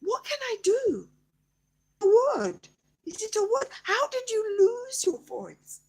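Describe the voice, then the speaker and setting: A woman's voice: extremely high pitch, sing-song, slow, a little mellow, voice pushed up, exaggerated voice. A woman acting out a tender, earnest storybook character who coos and coaxes a shy creature, repeating herself. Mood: pleading. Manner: cooing, coaxing, repetitive.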